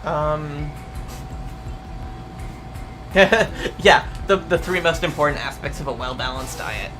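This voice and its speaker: A young adult streamer talks casually to their chat, wandering through answers with constant ums and uhs and the occasional curse. The voice sits at a middling pitch. Music plays clearly underneath. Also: animated, slow, low-quality recording. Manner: rambling, hesitant, many fillers, mild swearing. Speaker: VTuber streamer. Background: music playing.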